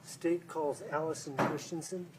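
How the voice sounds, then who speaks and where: A man acting as prosecutor, questioning a witness in court with halting, rephrased questions full of ums. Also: a little high, fairly even intonation, heavy background noise. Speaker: prosecuting attorney. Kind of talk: courtroom direct examination.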